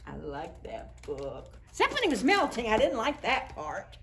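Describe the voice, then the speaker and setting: A woman doing an expressive, playful picture-book read-aloud for preschool children. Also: fairly high pitch, normal speed, a little hiss or hum. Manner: playful, acts out sound words. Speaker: children's storytime reader.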